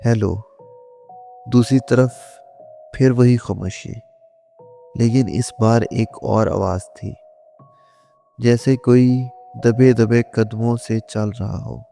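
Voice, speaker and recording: A man's voice: medium pitch, steady tone, slow, gravelly, warm tone. A man narrating a scripted scary story in the first person, in a suspenseful, dramatic storytelling manner. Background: faint music.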